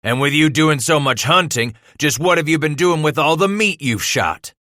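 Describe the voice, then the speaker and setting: A stern, gruff frontier patriarch played by a male narrator thunders through a historical audiobook. His speech is folksy and forceful, with a bright, hard edge and a dry, close sound. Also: lowish voice, normal speed, dry recording. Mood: furious, thundering. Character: angry domineering frontier father, gruff and stern.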